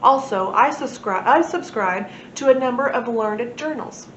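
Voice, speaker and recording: A woman's voice: reverberant, lo-fi sound, slight background noise, lightly acted. A woman voicing a mighty, pompous male volcano god in a storybook, proclaiming grandly. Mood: solemn, pompous. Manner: slow, booming, grandiose proclaiming.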